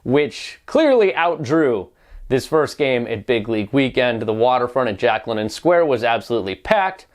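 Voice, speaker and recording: A man's voice: mid-range voice, sing-song, moderate pace. A man delivering opinionated sports news commentary as a reporter, wry and pointed, often posing rhetorical questions.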